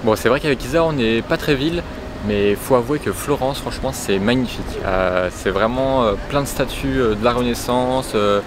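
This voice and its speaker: A man's voice: mid-range voice, normal speed. A young man chatting casually and jokily in a travel vlog on a city square, with frequent hesitations. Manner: relaxed, hesitant, jokey. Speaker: travel vlogger. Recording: street noise behind.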